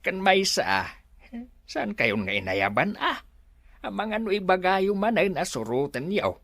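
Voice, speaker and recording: A husky, fawning underling. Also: male voice, high-pitched, big pitch swings, a little slow, fairly bright tone, dry recording, exaggerated voice. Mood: fawning, excited. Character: fawning, eager underling reporting to the boss. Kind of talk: radio drama acting.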